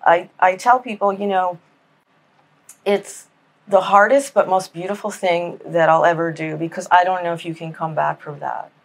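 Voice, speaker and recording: A woman from Miami, a writer appearing as a podcast guest, speaking candidly and reflectively with soft fillers. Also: fairly low pitch, fairly even intonation, normal speed. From Miami, United States. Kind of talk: candid conversational interview.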